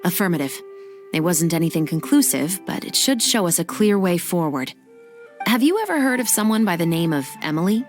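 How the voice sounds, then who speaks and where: A woman's voice: lowish voice, sing-song, brisk. A woman playing an earnest, disciplined young female patrol captain in a video game, formal and composed. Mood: businesslike. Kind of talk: video game character acting. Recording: music behind the voice.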